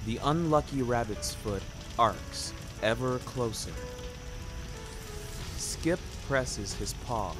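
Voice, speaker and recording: A man narrating a scripted first-person story in a wry, florid, dramatic manner. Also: on the low side. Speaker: narrator of a fiction podcast. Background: music under the voice.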